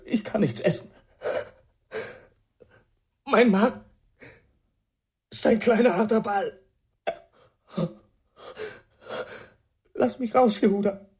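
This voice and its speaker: A woman's voice: medium pitch, a little slow, pauses often, darkish tone, close to an everyday voice. A woman playing a frail, desperate addict in a radio crime drama, plaintive and anguished.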